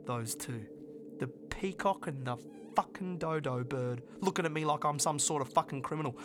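A man's voice: a little high, moderate pace, close to an everyday voice. An actor playing a bitter, proud male office worker who has lost his job, in emphatic, volatile drama dialogue. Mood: bitter, shaken. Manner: volatile, emphatic, often profane, repeats phrases. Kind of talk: dramatic stage-play dialogue. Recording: noticeable background noise.

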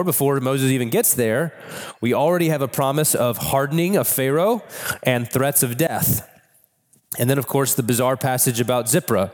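A man with a cold, hoarse, preaching a sermon in a church in a candid, conversational way. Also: medium pitch. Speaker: pastor. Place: church sanctuary. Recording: long reverb of a church hall.

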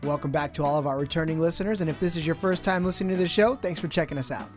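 A man's voice: fairly high pitch, rapid-fire, faint music behind. A middle-aged man co-hosting a local podcast and interviewing guests, talking casually with plenty of fillers. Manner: relaxed, friendly, filler-heavy. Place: small podcast studio.

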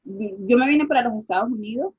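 A woman from Venezuela with a Venezuelan accent, a guest scientist talking casually in an interview and pausing with fillers. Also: a little high, breathy edge, highs cut off. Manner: reflective, with many fillers like "este" and "o sea".

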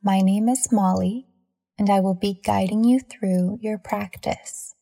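Slow woman guiding meditation, creaky voice. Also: mid-range voice, steady tone, warm tone. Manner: measured, soothing, with deliberate pauses between phrases. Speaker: guided meditation leader. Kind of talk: slow scripted guided meditation narration.

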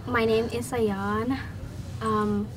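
A woman's voice: fairly high pitch, little pitch movement, extremely slow. A teenage young woman who grew up in Kenya, casually reflecting on her own experience as a student guest. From Kenya, born in Somalia. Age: teens. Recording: distant mic, clear background noise.

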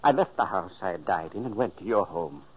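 A man's voice: low-pitched, animated, brisk, husky. An actor playing the ghost of a dead older man, narrating earnestly and pleading to warn his brother, in an old radio play. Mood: solemn. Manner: urgent, pleading. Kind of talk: 1940s radio acting. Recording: dull old radio recording.

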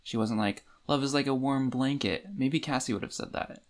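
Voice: mid-range voice, moderate pace. A podcast co-host in a casual book chat, recapping the plot in an informal, colloquial way.

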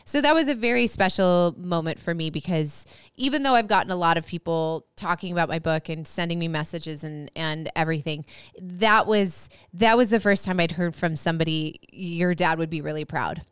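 A woman with a high, strikingly clear voice talks through a personal story in a relaxed podcast chat. She rambles and restarts her sentences now and then. The sound is close and dry. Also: normal speed, no echo. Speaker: podcast co-host. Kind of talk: casual chat.

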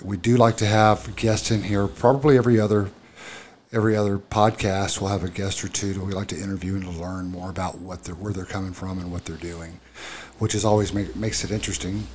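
Low-voiced blunt sarcastic man. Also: fairly even intonation, moderate pace, a little mellow, slight background noise. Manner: sarcastic, blunt, swears freely. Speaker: podcast co-host. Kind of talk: casual podcast chat.

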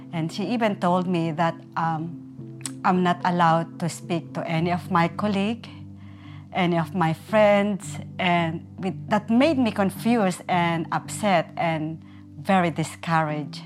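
Woman telling her story slowly, lowish voice, music playing under her. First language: Tagalog. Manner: halting, soft-spoken, earnest. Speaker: care worker sharing a testimony. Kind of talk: recorded personal testimony. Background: soft music.

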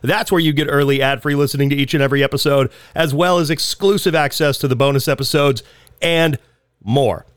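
A man hosting a podcast, a little raspy from a head cold, talking in a chatty, loose way with frequent ums. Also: on the high side, steady tone, brisk, dry recording, clean studio sound. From Southern California. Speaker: podcast host. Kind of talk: casual podcast intro and ad reads.

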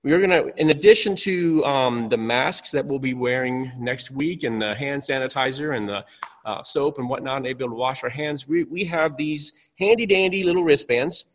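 A man's voice: medium pitch, normal speed. A man, a pastor, hosting a church panel from a stage, speaking loosely with many fillers and restarts. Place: church stage, far from listeners. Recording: long hall reverb.

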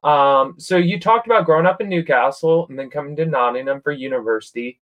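A man's voice: a little high, fairly quick, lo-fi sound. A man hosting an interview, asking questions and responding in an encouraging, reflective way. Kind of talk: casual interview.

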